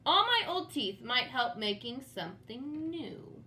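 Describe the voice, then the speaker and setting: A man's voice: very high voice, big pitch swings, a little slow, sharp tone, heavily acted. A woman voicing a melodramatic, suffering storybook man. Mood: wishful, excited. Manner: exaggerated groaning, shrieking and moaning. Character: dramatic, suffering George, a groaning, toothless old leader. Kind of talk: storybook read-aloud character voice.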